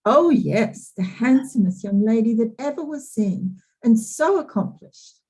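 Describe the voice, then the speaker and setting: A woman's voice: fairly high pitch, expressive, unhurried, mellow, slightly rough, low-quality recording. A woman playing a proud, devoted estate housekeeper in a period drama reading, earnest and deferential. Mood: fond pride.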